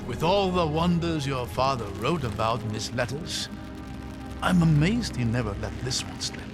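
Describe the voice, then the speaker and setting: An actor playing a curious, learned scholar in a fantasy video game, articulate, formal and thoughtful. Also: male voice, mid-range voice, expressive, normal speed, music in the background, natural voice. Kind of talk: dramatic video game acting.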